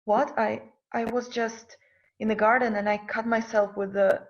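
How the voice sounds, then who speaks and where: A woman's voice: on the high side, level tone, normal speed, natural voice. A woman in a script table read playing an anxious, curious young woman, with hesitant, questioning delivery. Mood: nervous. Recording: dull lo-fi sound.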